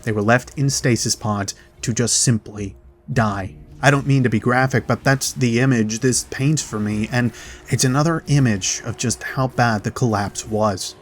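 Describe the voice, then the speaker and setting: A man hosting a game lore video, delivering narrated commentary directly to viewers with dramatic pauses. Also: mid-range voice. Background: background music.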